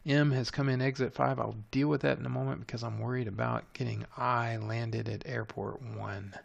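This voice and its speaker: A man's voice: medium pitch, steady tone, moderate pace, gravelly, muffled recording. A man giving an unscripted screencast tutorial, methodically thinking aloud as he narrates each step. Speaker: tutorial presenter.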